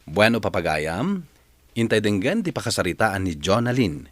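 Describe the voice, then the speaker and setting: A man's voice: on the low side, sing-song, normal speed, no echo. A man with an Ilocano accent introducing a radio drama as its host in scripted narration.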